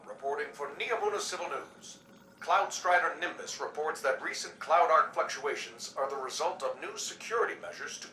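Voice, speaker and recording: Game newsreader: formal scripted report, bright voice, slightly high, roomy sound. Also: male voice. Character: formal, brisk civic news reporter of a sci-fi city. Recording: roomy, distant, faint background noise.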